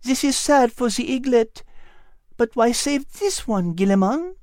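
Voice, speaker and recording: A narrator voicing a gentle, earnest female cat with a French accent in an audiobook. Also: mid-range voice, lively intonation, unhurried, no room sound, clean studio sound, voice pushed up, natural voice. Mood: concerned.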